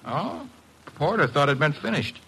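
A man's voice: a little high, very animated, moderate pace, gravelly, pushed and bright, husky, exaggerated voice, poor audio. An actor playing a breezy, wisecracking male detective's assistant in an old-time radio drama, with a wry, informal way of talking. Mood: skeptical, needling.

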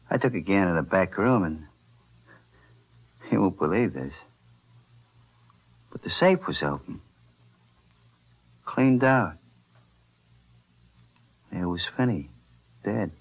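A snarling 1940s gangster. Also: male voice, on the low side, expressive, slow, long pauses, lo-fi sound, heavily acted. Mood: tense. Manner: gruff tough-guy slang, snarling threats. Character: threatening male gangster, gun-toting partner. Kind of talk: 1940s radio acting.

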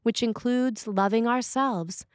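A woman reading a scripted sermon as a preacher, with measured, deliberate phrasing. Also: on the high side, no room sound, clean studio sound.

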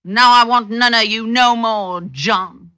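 A woman's voice: fairly high pitch, takes it slowly, sharp tone, no echo, studio quality. An actress playing a jealous, weary, scornful woman scolding her thief sweetheart in rustic period dialect, in old-time radio drama style. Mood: bitter, threatening.

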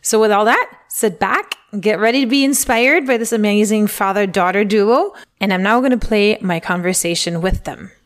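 A woman's voice: medium pitch, normal speed, few pauses. A woman hosting a podcast, reading an upbeat scripted intro and then interviewing guests with enthusiasm. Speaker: podcast host.

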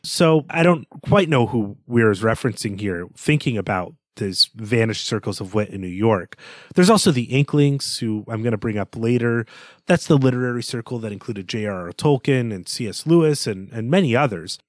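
A man's voice: medium pitch, lively intonation, moderate pace, dry recording, studio quality. A man co-hosting a podcast, discussing books conversationally in a bookish, analytical way. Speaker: podcast co-host.

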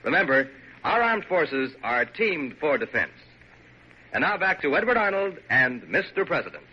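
A man's voice: on the high side, very animated, moderate pace, background noise. A man with an American accent reading a scripted public service message as a 1940s radio announcer. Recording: muffled old radio broadcast.

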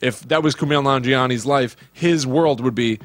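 A man's voice: medium pitch, normal speed, a bit bright, no echo. A man co-hosting a casual comedic podcast, telling things in a playful way. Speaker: podcast co-host.

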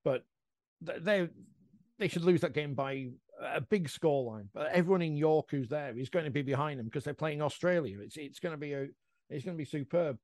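A man's voice: fairly high pitch, brisk, darkish tone, no room sound. A man hosting a casual sports podcast, chatting in an enthusiastic, conversational way. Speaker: podcast host.

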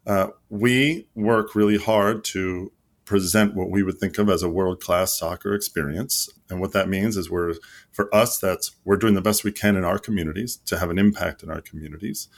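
A man's voice: low voice, fairly even intonation, moderate pace. A man answering interview questions as a league marketing executive, earnest and explanatory with frequent fillers and restarts. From United States.

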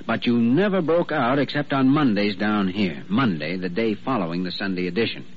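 An actor playing an earnest, determined young hospital doctor who reasons thoughtfully aloud in 1940s radio drama style. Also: male voice, fairly low pitch, lively intonation, moderate pace, natural voice. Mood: excited deduction. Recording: dull, hissy old radio recording.

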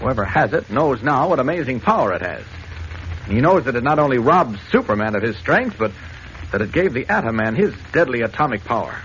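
A man's voice: mid-range voice, sing-song, brisk, a bit bright. An actor playing a worried, persistent man in a 1940s radio drama, speaking with insistent urgency. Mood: worried, urgent. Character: worried, persistent man in his office guise. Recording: muffled, hissy old radio recording.

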